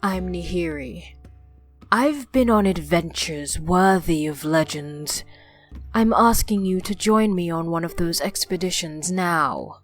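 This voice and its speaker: A woman's voice: lowish voice, lively intonation, takes it slowly, faint music behind. A woman playing a proud, self-assured adventurer who speaks persuasively in a fantasy audio drama. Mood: confident.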